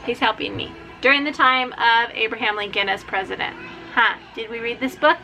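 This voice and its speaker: Homeschool mom vlogging, high bright voice, casual and unscripted sounding chat. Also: female voice, brisk, gravelly, faint music behind, low-quality recording. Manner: informal, often breaks off to talk to her small children. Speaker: homeschooling mother presenting books.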